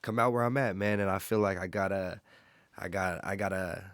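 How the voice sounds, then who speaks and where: A man's voice: sits low, fairly even intonation, a little fast, fairly bright tone, clean tone, dry recording, studio quality. A thirty-year-old man hosting a podcast, easygoing and casual, swearing now and then. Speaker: podcast host. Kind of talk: casual conversation.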